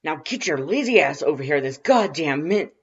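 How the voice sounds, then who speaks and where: A performer voicing a crude, aggressive skeleton monster who shouts irritably in a rough, gravelly voice. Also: mid-range voice, big pitch swings, normal speed, highs cut off, pitched above the performer's own voice. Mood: irritated yelling. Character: loud, irritated, crude skeleton brother yelling into phone.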